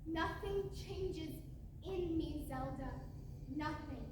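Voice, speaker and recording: A woman's voice: high voice, slow. A young woman acting an anguished, pleading small-town daughter in a school stage play. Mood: anguished, intense. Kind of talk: high school one-act stage play. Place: stage in an auditorium. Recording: echoey auditorium, distant mic, background hiss.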